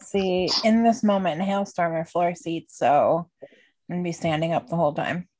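A woman in her late thirties co-hosting a podcast, relaxed and laughing at herself. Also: lowish voice, moderate pace, no room sound. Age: 30s. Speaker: podcast co-host. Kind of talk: casual chat.

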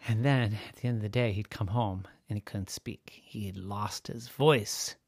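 A man narrating a bedtime story in an expressive way, with drawn-out emphasis. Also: fairly low pitch, dry recording, studio quality.

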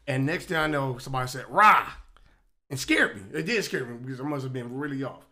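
A man in his forties hosting a casual podcast chat, telling stories in an animated, joking way. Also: medium pitch, fairly quick. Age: 40s. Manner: animated storyteller, loose and joking. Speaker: podcast host.

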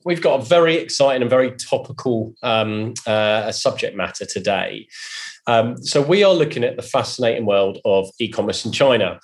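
Guy co-hosting a podcast, mid pitched voice, chatty intro and interview style. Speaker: podcast co-host.

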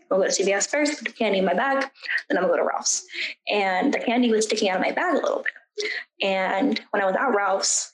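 A young American woman telling personal stories in an interview, speaking informally with quoted asides and a lot of "like". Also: medium pitch. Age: young adult. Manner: informal, animated retelling with quoted asides and frequent "like". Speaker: student interviewee. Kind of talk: candid personal storytelling in an interview. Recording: roomy, echoey sound.

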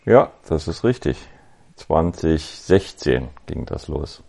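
A man in his fifties from Berlin with a Berlin accent, telling his story as a podcast guest in an easygoing, reflective way with frequent pauses. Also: a bass voice, creaky. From West Berlin, Germany. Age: 50s. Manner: relaxed, reflective, with many ums and pauses. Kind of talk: casual conversational storytelling.

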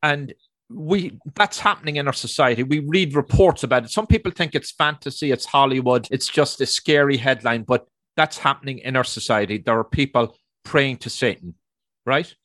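A man's voice: medium pitch, moderate pace, a bit bright, dry recording. A man with an Irish accent hosting an interview in a chatty way, stretching out the words he wants to stress. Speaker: host.

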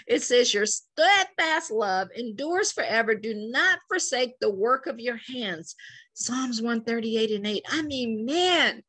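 A woman preaching to online viewers about faith and business, fervent and asking listeners to say lines back, her voice turning hoarse. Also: high-pitched, a bit bright. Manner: fervent, calls for responses from listeners. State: hoarse, losing her voice and coughing.

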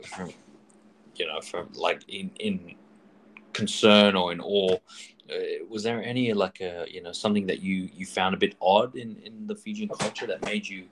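Deep-voiced man asking halting questions. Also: a bit bright, rough recording. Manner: halting, many fillers and restarts. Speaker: interviewer. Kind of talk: casual interview.

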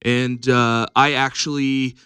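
A man who runs comedy showcases, chatting casually on a podcast and pausing often with fillers. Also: medium pitch, level tone, bright, forceful tone, clean tone, no echo, studio quality. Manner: hesitant, many fillers.

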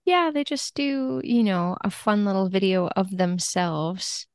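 A woman's voice: mid-range voice, lively intonation, unhurried, darkish tone, clear, resonant tone, no echo, clean studio sound. A woman speaking as a translator guest on a podcast, discussing a book in an informal, conversational way.

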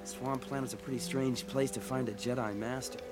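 A man's voice: mid-range voice, steady tone, moderate pace, over loud music, natural voice. An actor playing an earnest, impatient young male space adventurer in a radio drama. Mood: weary, uneasy.

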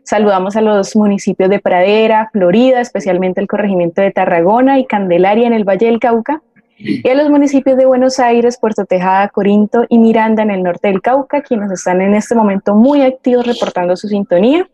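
A woman's voice: mid-range voice, fairly quick, dark tone, no echo, lo-fi sound. A woman with a Colombian accent co-hosting a live programme, giving greetings and reading out audience messages. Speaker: co-host reading audience comments.

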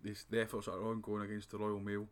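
A man with a Scottish accent hosting a casual podcast, talking in a relaxed, conversational way that often drops to a mutter. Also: on the low side, fast talker. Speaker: podcast host. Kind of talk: casual chat.

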